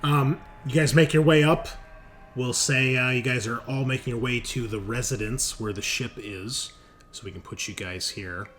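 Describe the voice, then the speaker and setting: A man's voice: medium pitch, steady tone, moderate pace, a bit bright. A man casually narrating a tabletop roleplaying game as the game master. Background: background music.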